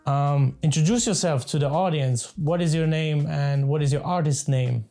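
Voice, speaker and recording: A man's voice: on the high side, normal speed, dark tone, faint music behind. A man hosting a casual interview, asking friendly follow-up questions and often repeating words as he goes.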